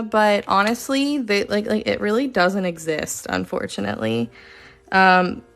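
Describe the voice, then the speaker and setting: Relaxed chatty woman with vocal fry. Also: medium pitch. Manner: chatty, rambling, lots of fillers and likes; says she is relaxed, talks softly at times. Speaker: dot art painter streaming live. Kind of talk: casual live-stream chat while painting.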